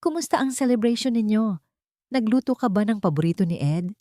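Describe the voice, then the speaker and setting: A woman's voice: medium pitch, fairly quick, dry recording, clean studio sound, voice pushed up, close to an everyday voice. A woman voicing a cheerful, reassuring female best friend in an audio drama, chatty and encouraging. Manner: lively, chummy, encouraging.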